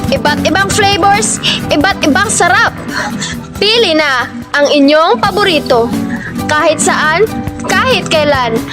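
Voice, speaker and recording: A woman announcer giving an upbeat, scripted commercial read. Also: sits high. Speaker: advertisement announcer. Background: music.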